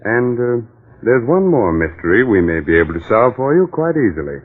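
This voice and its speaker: A man's voice: medium pitch, very animated, brisk, breathy edge. A man hosting a 1940s radio mystery show, reading a script in a theatrical, confiding way straight to the listener. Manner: theatrical, confiding direct address. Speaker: program host and pitchman. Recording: muffled old radio broadcast recording. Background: music.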